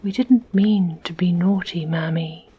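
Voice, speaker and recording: A woman's voice: on the low side, fairly even intonation, a little slow, dark tone, breathy edge. A woman voicing an earnest young girl in a storybook, speaking in a whisper.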